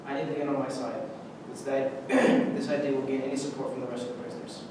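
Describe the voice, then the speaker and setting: An actor in a staged reading playing a tense, embittered soldier held prisoner, clipped and argumentative. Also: male voice, flat delivery, quick pace, lightly acted. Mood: defensive. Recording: echoey hall from far off, noisy rumble.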